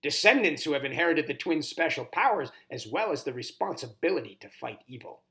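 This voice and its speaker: Guy doing a kindly old toad mentor for a storybook, croaky n muffled, very formal, pitch moves around a lot. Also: lively intonation, fairly quick, sharp tone. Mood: solemn storytelling. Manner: courtly, old-fashioned, ceremonious phrasing.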